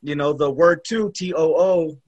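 A man's voice: a little high, moderate pace, darkish tone, lo-fi sound. A man co-hosting a casual podcast, joking around, laughing often and trying out a few German phrases. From United States. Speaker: podcast co-host.

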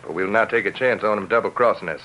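A man's voice: fairly low pitch, fast talker, pushed and bright. A man playing a menacing, bossy outlaw gang leader in an old radio western. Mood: threatening, commanding. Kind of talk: 1950s radio western acting. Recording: noisy old radio recording.

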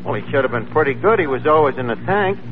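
A man's voice: medium pitch, animated, fast, close to an everyday voice. Man playing a sardonic, swooping New York wisecracker. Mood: sardonic, breezy. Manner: sardonic, mock-refined, mangles grammar and fancy words. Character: wisecracking, pretentious lowbrow New York tavern manager. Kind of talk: 1940s radio comedy acting. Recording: tinny, noisy 1940s radio recording.

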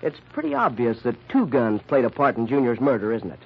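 A man's voice: medium pitch, huge pitch swings, a little fast, slightly rough, exaggerated voice. An actor playing a prim, accusing young son who needles his family in an old radio drama style. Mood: needling. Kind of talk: 1940s radio acting. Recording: dull lo-fi old radio recording.

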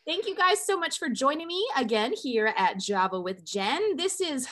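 A woman's voice: sits high, very animated, normal speed, a bit bright. Earnest woman hosting a faith podcast. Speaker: podcast host. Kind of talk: faith podcast intro and interview.

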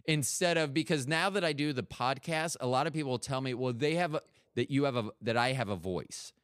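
Middle-aged podcast host, smooth clear voice, kinda halting, dry sound. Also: male voice, medium pitch, fairly bright tone, no echo. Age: late 40s to 50s. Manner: reflective, halting questions. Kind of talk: casual interview chat.

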